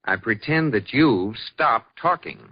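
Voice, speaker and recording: Exasperated, animated 1940s radio husband. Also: male voice, medium pitch, unhurried, husky. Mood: dry, sleepy. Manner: dry, long-suffering. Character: exasperated, dry-witted husband. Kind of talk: 1940s radio sitcom acting. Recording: muffled old radio recording.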